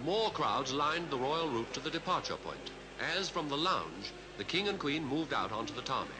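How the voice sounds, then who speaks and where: A man with a British Received Pronunciation accent reading scripted 1950s newsreel commentary in a formal, measured announcer style. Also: medium pitch, sing-song. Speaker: newsreel narrator. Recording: vintage 1950s newsreel soundtrack with music.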